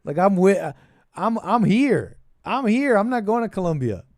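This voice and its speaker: A man hosting a casual call-in show, thinking aloud in a halting, repetitive, meandering way. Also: sits high, very animated, no echo, studio quality. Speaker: podcast and call-in show host. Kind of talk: rambling casual political commentary.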